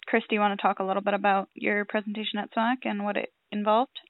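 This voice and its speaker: A woman's voice: mid-range voice, flat delivery, quick pace, no echo. A woman hosting a farming podcast, leading a guest interview in a friendly, conversational way. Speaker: podcast host. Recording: dry, tinny phone-like sound.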